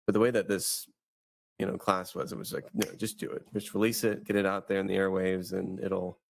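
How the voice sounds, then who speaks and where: A man's voice: deep voice, level tone, fairly quick, gravelly, slight background noise. A man in his thirties co-hosting a faith podcast, chatting casually and rambling with many restarts and fillers. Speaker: podcast host. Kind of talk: casual chat.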